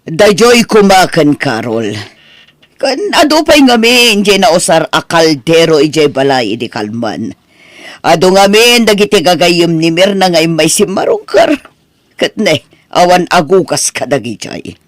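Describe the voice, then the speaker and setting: A man's voice: fairly high pitch, sing-song, unhurried, dry recording, studio quality. A man playing a gossipy, scornful rural relative in an audio family drama, chatty and mocking. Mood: nagging, chatty. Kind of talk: Ilocano radio-style drama acting.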